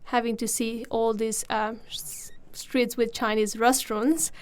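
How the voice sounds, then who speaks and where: Young female co-host from Nepal, casual and laughing, slightly higher voice. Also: flat delivery, clean tone. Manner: chatty, laughs often, halting with fillers. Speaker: podcast co-host. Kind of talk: casual conversation.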